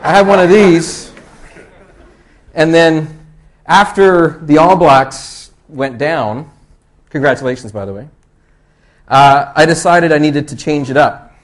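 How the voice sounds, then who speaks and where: A man's voice: a little high, takes it slowly, long pauses. A man with a Canadian accent from the East Coast giving a chatty, joking conference talk full of rambling, self-deprecating asides. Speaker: conference presenter.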